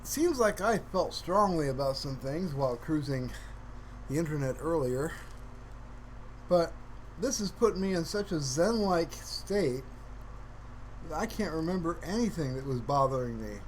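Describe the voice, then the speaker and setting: A man chats to his livestream in an unhurried, musing way, with a fairly high voice that swings widely in pitch. He is playfully mock-earnest. A faint background noise sits behind him. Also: lively intonation, low-quality recording. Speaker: livestreamer hosting his own stream. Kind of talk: casual, rambling livestream commentary to viewers.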